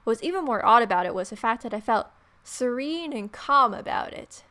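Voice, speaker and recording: A woman's voice: on the high side, animated, a little fast, bright, forceful tone. Playful woman gamer reading aloud. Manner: playful, irreverent. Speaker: let's play host. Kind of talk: visual novel read-aloud with casual commentary.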